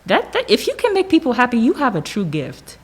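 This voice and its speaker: A woman's voice: fairly high pitch, wildly swooping pitch, fairly quick, slightly rough. A young woman talking casually and jokingly as a podcast panelist, sprinkling her speech with "like" and "you know". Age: young adult. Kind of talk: casual group discussion.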